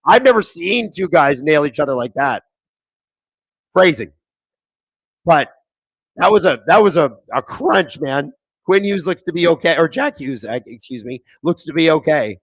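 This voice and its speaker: A man with a thin, raspy, hoarse voice hosts a live hockey watchalong, chatting casually, reading viewer comments and calling plays excitedly. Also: fairly high pitch, normal speed, long pauses, no echo. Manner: casual, reads viewer chat aloud; excitable play-by-play on big moments. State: hoarse, voice squeaking. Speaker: watchalong host. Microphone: streaming microphone.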